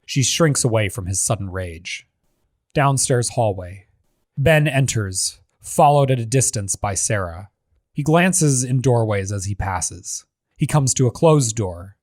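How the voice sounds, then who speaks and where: A man's voice: medium pitch, expressive, a little slow, no echo, studio quality. A man giving scripted narration, reading a screenplay's stage directions as the narrator of a table read.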